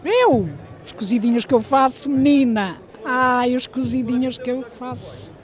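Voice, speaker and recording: A woman's voice: on the high side, lively intonation, measured pace. An effusive woman, chatting casually as a fair visitor. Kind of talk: casual exclamatory vox pop.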